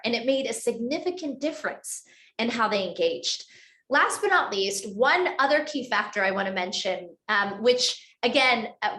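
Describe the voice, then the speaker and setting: A woman's voice: on the high side, a bit bright. Woman presenting over an echoey webinar. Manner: articulate, structured, many list-like phrases. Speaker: author and speaker. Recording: roomy echoey sound.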